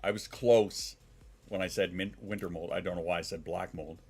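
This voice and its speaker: A man's voice: low-pitched, fairly even intonation, normal speed, darkish tone, husky. A man in his thirties, congested and sniffling, chatting casually on a live stream, rambling, swearing and reacting to chat. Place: home.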